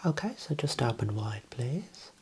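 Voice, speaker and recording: A soft, breathy whisper in an ASMR medical role play, gently and politely explaining and instructing step by step as a clinician. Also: medium pitch, very animated, unhurried, slight background noise. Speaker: ASMR performer playing a clinician.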